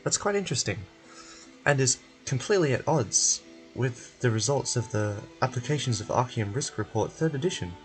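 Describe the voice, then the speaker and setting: Guy doing a blunt fussy scientist from a game, formal and clinical, kinda deep, music behind. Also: animated, normal speed, close to an everyday voice. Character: stern, blunt, meticulous research institute scientist.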